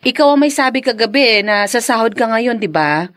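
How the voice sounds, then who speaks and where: An actress with a Filipino accent playing a practical, gently chiding young wife and mother in a radio drama. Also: a little high, expressive, a little fast, dry recording. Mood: chiding, teasing.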